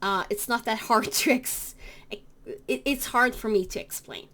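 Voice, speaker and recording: A woman with a Norwegian accent, a native Norwegian speaker talking in English, explaining things to camera in a halting way with many fillers and self-corrections. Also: on the high side, a bit bright. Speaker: cross-stitch vlogger. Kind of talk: casual explanatory talk to camera.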